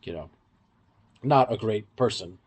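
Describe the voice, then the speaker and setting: Low-voiced, husky man explaining in depth. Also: expressive, unhurried, darkish tone. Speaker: podcast co-host. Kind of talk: casual, in-depth fan commentary.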